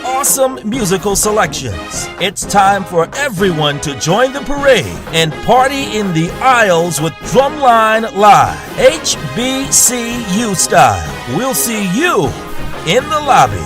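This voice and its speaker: Casting: high, lively male promo announcer over loud music. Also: slow pace. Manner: showy, announcer-like. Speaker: promo narrator.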